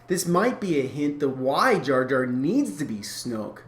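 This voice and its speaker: A man giving a casual, informal explainer, talking in a halting, rambling way and often correcting himself. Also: medium pitch, sing-song. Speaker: fan-theory video creator. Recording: echoey room, clear background noise.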